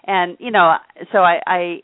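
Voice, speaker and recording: A woman's voice: fairly low pitch, fairly even intonation, measured pace, no room sound. A woman hosting an interview, chatting and telling stories in a loose, talkative way with many restarts. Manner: chatty, storytelling, frequent restarts. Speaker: host. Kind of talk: casual interview talk. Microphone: phone. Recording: tinny phone line.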